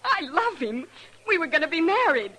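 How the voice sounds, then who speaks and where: A woman's voice: very high-pitched, very animated, moderate pace, sharp tone, heavily acted. An American actress playing a headstrong, lovestruck fifteen-year-old girl in a radio western, emotional and stubborn. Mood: distraught. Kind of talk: mid-century American western radio drama acting. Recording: noisy vintage radio recording.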